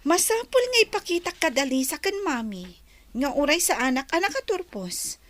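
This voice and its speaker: A woman's voice: sits high, sing-song, moderate pace, fairly bright tone, a little hiss or hum. A woman acting a headstrong, envious daughter in a radio drama, emphatic and boastful. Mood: determined. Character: headstrong, envious daughter dreaming of riches abroad.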